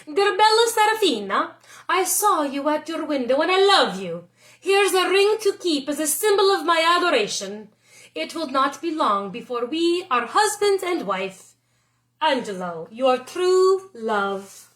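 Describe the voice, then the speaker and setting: A charming young nobleman from a storybook, effusive and courtly, acted out by a woman. The voice is high and lilts widely with romantic eagerness. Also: sing-song, measured pace, fairly bright tone, exaggerated voice. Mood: adoring. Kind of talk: storybook read-aloud character voice.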